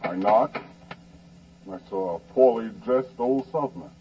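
An actor playing a poet telling a wry anecdote in a 1940s radio drama, measured and conversational. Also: male voice, medium pitch, lightly acted. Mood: wry, reflective. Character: well-known male poet recounting a wry travel anecdote. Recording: muffled, hissy 1940s radio recording.

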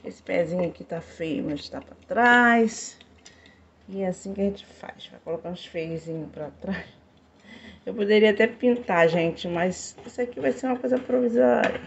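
A woman's voice: mid-range voice, moderate pace, creaky, a little hiss or hum. A chatty woman in her fifties films herself on a phone in a small tiled kitchen. She talks straight to her viewers in a friendly, repetitive way and calls them "gente" again and again. The sound is a bit dull and lo-fi. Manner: chatty, repeats "gente" often, pleading for likes. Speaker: home DIY vlogger. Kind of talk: casual chatty vlog, talking to viewers. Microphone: phone camera held close.

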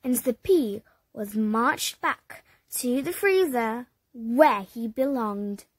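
A woman reading a children's picture book aloud, slow and expressive, leaping up high for the dramatic moments. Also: husky, dry recording. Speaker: storybook reader. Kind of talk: children's read-aloud narration.

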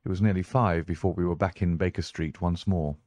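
A man reading literary narration as an audiobook narrator, in a measured, formal manner. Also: deep voice, fairly even intonation, darkish tone, no echo.

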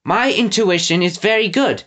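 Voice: medium pitch, normal speed, fairly bright tone, pitched above the performer's own voice, natural voice. A reader voicing a plucky, well-bred eleven-year-old girl, prim and self-assured, in a storybook reading. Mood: smug.

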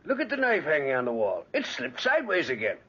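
A man's voice: on the high side, wildly swooping pitch, fast, bright, forceful tone, slightly rough, full character voice. An actor playing a chatty older male visitor who rambles through superstitious stories in an old radio drama. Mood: rambling. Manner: rambling, chuckling storyteller. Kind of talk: 1940s radio acting. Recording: dull lo-fi old radio recording.

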